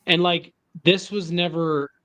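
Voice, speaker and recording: A man's voice: high-pitched, fairly even intonation, measured pace, a bit bright, smooth. A man from Northern California, laid-back and curious, chatting casually on a business call.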